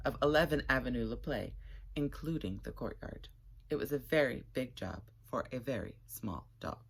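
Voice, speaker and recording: Very low, husky woman storyteller. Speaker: storyteller reading a children's chapter book. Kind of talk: read-aloud children's storytelling with casual chat to viewers.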